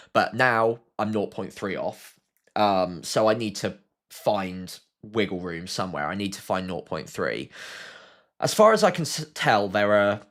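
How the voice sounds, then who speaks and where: A man's voice: fairly low pitch, a bit bright. A British man hosting a casual live stream, chatting and thinking aloud as he answers viewers, slightly sniffly with a cold.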